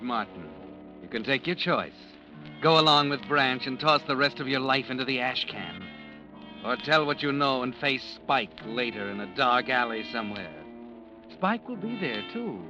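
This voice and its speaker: A man's voice: medium pitch, pushed and bright. An actor playing an ominous male narrator who talks to the listener in a 1940s radio drama, brooding and insinuating, on a muffled old recording.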